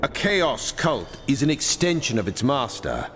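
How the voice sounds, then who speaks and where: An actor playing a learned mage who lectures solemnly about a dangerous foe. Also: male voice, medium pitch, sing-song, unhurried, a bit bright, in a large hall, soft background music.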